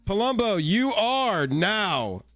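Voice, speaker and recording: A man's voice: high voice, big pitch swings, takes it slowly, a bit bright, faint music behind. A young man, co-hosting a card game live stream in a jokey, playful way and reading a sponsor spot. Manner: jokey, playful, breaks into silly voices. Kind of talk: casual live-stream chat and sponsor read.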